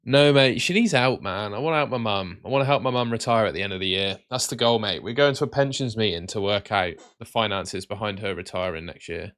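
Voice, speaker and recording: A man hosting a live stream with casual, matey chat that can turn excitable and sing-song. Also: lowish voice, brisk, few pauses. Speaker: livestream host.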